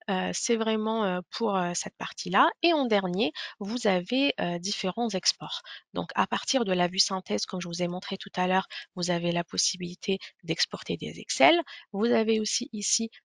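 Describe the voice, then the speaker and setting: A woman giving an explanatory software webinar walkthrough, speaking hesitantly with frequent pauses. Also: medium pitch, gravelly, dry recording, studio quality.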